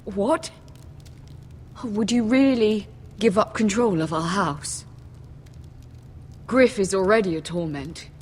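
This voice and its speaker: A woman's voice: slow, long pauses, a little mellow, lightly acted. A woman playing a distraught, reproachful noble mother in a fantasy video game drama. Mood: troubled, indignant. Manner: reproachful, pleading, formal. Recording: long hall reverb, clear background rumble.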